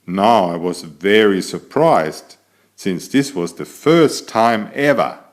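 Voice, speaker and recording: Man voicing a vain, lively storybook tomcat, unhurried. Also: lowish voice. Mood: surprised. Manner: self-admiring, theatrical, addresses young listeners directly. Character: elegant, vain, chatty tomcat telling his adventures. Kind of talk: animated children's picture-book narration.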